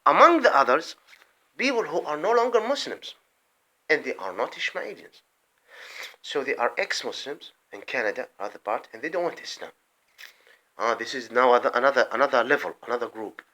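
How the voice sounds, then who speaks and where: A man delivering an impassioned, rambling political monologue, breaking off mid-sentence and swinging between loud outbursts and muttered asides. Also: mid-range voice, lively intonation, brisk. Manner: rambling, emphatic, often breaks off and restarts. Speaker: vlogger.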